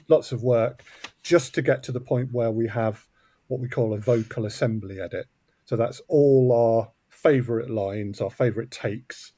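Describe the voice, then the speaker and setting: A man's voice: mid-range voice, dark tone, no room sound. A man speaking as an interview guest, explaining his production work in a conversational way. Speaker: audio drama co-creator and producer, interview guest.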